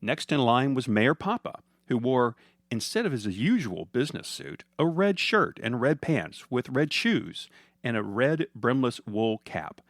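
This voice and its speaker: A man's voice: medium pitch, very animated, measured pace, no room sound, clean studio sound. A man reading a scripted comic story as its narrator, in the style of a storytelling podcast.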